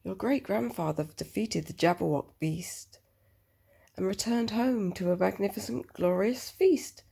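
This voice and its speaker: A woman with a husky voice reading a picture book aloud to a child, expressively, with chatty questioning asides. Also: mid-range voice, a little slow, mellow.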